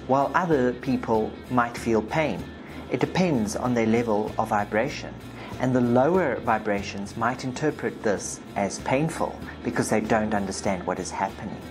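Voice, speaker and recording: A woman with a South African accent, hosting a spiritual video and reading session transcripts aloud with emphasis. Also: very deep voice. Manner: emphatic, forceful when reading the client. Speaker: video host, spiritual practitioner. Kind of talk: narration read from session transcripts.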